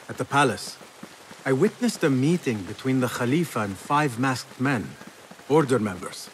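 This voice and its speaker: An actor playing an earnest, deferential young assassin apprentice in a video game cutscene. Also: male voice, medium pitch, a bit bright, slight background noise, natural voice, poor audio.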